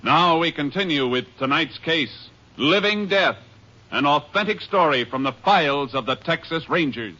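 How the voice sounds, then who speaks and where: A man's voice: a little high, animated, moderate pace, bright, forceful tone, slight background noise. A man with an American accent making formal, polished, scripted announcements in 1950s radio style. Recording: muffled old radio recording.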